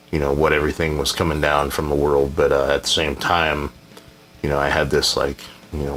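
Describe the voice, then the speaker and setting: A man's voice: a bass voice, fairly bright tone. A man answering viewer questions in a casual, rambling chat, laid-back and frequently hedging, now and then blunt and profane.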